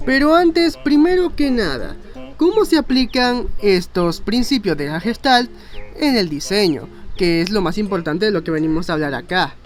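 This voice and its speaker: High-pitched man explaining things. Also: lively intonation, moderate pace. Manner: conversational, rambling, with self-mocking asides. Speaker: video narrator and graphic designer. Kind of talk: informal educational explainer narration. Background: faint music.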